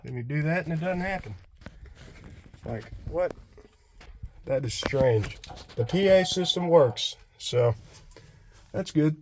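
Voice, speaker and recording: Casual man vlogging over clear background noise. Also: on the high side, lively intonation, slow pace, pauses often. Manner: unscripted, thinking aloud. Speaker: DIY vlogger. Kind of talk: casual hands-on walkthrough. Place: in and around a pickup truck.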